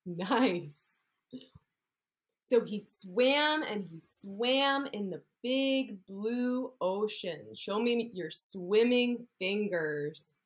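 A woman's voice: mid-range voice, expressive, extremely slow. A woman in her thirties, an occupational therapist, reading a picture story aloud to young children and coaxing them to copy hand gestures, recorded indoors on a laptop webcam. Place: indoor room.

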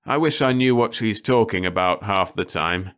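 A man's voice: lowish voice, normal speed, fairly bright tone, clear, resonant tone, close to an everyday voice. A man voicing a weary, impatient husband in an audiobook story, with an anxious, dismissive, grumbling manner. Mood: weary, doubtful. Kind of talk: audiobook character dialogue.

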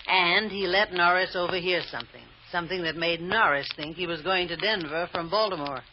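An actress playing a domineering, cold-blooded villainess in an old-time radio drama, with a sharp, sneering, commanding delivery. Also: female voice, on the low side, a little fast, close to an everyday voice. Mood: calculating. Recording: muffled, noisy old radio recording.

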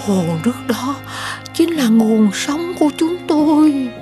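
An elderly villager in an animated folk tale, plaintive and sorrowful. Her voice is high and very slow, with a southern Vietnamese accent, over clear background music. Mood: weary, warning. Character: sorrowful elderly villager whose grandchildren were eaten. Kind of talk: animated fairy-tale dialogue.

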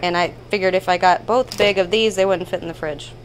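A woman's voice: lowish voice, steady tone, brisk, low-quality recording. A woman in her thirties hosting a vlog, talking casually and conversationally as she lists things in a home kitchen. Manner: informal, conversational, listing items. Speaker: vlog host. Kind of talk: casual chatty grocery haul narration.

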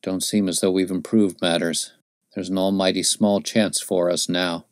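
A man voicing a gaunt, dying frontiersman who speaks gently in rustic dialect, with a low voice. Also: little pitch movement, moderate pace, dry recording, clean studio sound, lightly acted. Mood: gentle.

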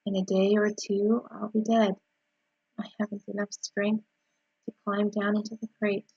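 Woman playing a hushed, weary, dying spider. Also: a little slow, breathy edge, dry recording, highs cut off. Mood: weary, resigned. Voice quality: low, hushed, weak. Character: dying female spider, calm, weary, gently firm.

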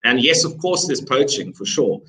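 A man's voice: mid-range voice, big pitch swings, normal speed, pushed and bright. A man explaining conservation over a video call, reassuring and hesitant with fillers. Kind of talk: conversational explanation. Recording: distant mic with faint noise.

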